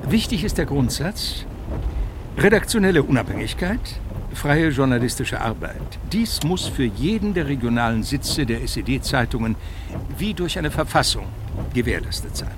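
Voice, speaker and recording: A man of middle pitch reads scripted, documentary-like prose. His manner is sober and matter-of-fact, with deliberate phrasing, over clearly audible ambient noise like traffic outdoors. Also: big pitch swings. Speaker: narrator. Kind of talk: scripted literary narration, documentary-like.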